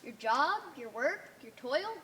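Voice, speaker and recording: Outspoken, insistent girl outsider played by a woman; bright, lively intonation, distant-miked stage play. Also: high-pitched, a little slow, a little hiss or hum, exaggerated voice. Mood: curious. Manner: plain-spoken, insistent, emphatic. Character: stubborn, outspoken, homesick young girl, an outsider. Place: theatre stage.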